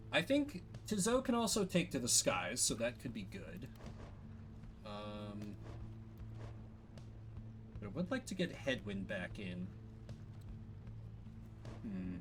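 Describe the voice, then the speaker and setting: A man's voice: mid-range voice, fairly bright tone, a little hiss or hum, low-quality recording. A man doing casual let's play commentary on a game as a streamer. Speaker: gaming streamer.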